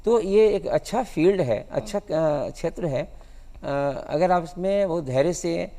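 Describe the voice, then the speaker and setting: A man in his sixties giving interview answers as a guest, speaking in a measured, halting way. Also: sits high, expressive, dark tone. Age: 60s. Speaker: guest cartoonist. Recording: long hall-like reverb.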